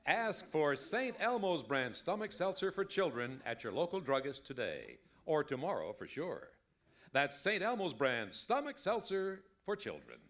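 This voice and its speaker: An actor playing an earnest, emphatic announcer in an old-time radio advertisement. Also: male voice, fairly high pitch, very animated, measured pace, fairly bright tone, full character voice. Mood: earnest pitch. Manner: salesmanlike, emphatic. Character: smooth-talking, earnest radio commercial announcer.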